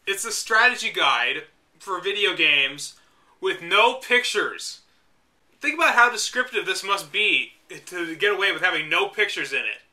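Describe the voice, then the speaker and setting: A young man reads an outdated game guide aloud, cutting in with dry, mocking remarks. His voice sits high and sounds very bright and sharp. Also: lively intonation, unhurried. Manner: mocking, dry asides between read passages. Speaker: host reading a book aloud. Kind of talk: reading aloud with sarcastic commentary.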